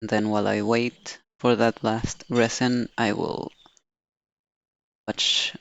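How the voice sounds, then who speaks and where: Gravelly low-voiced man, slow and casual. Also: level tone, fairly bright tone, dry recording. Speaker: streamer. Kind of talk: casual live-stream chat.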